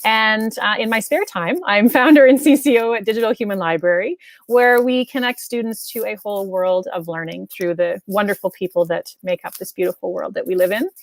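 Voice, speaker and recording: A woman's voice: medium pitch, a little fast, few pauses. A woman with a Canadian accent speaks in a dry, echo-free sound. She is an effusive teacher and co-host, introducing things casually and off the cuff. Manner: effusive, enthusiastic. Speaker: kindergarten teacher and co-author co-hosting.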